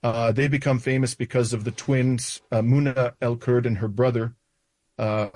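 Halting man in his sixties, North American accent. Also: medium pitch, flat delivery, darkish tone. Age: 60s. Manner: halting, with frequent uhs and restarts. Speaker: interview guest. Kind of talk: recounting events in an interview.

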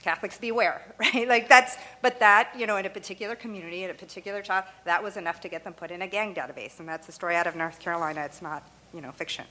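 A woman's voice: on the low side, fast, hardly any pauses, pushed and bright. A woman whose first language is Arabic, explaining her views in a loose, conversational way with frequent "you know" and "right?" and light laughs. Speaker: interviewee.

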